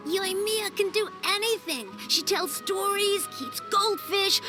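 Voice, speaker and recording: An actress voicing a friendly, matter-of-fact young child in a video game, a bit of a know-it-all. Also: female voice, extremely high pitch, takes it slowly. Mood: friendly, admiring. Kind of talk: video game cutscene acting. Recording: music under the voice.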